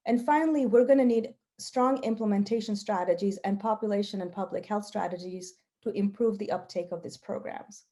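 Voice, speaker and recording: A woman researcher, a native Gujarati speaker, giving a prepared talk with personal storytelling in an earnest, measured, emphatic way. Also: medium pitch, warm tone. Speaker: health researcher presenting.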